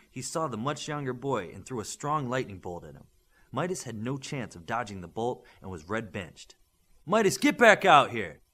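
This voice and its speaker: A man's voice: medium pitch, fairly bright tone, husky. Male audiobook narrator for action adventure fiction, mid-range voice with very varied intonation. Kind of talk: scripted narration of an adventure story.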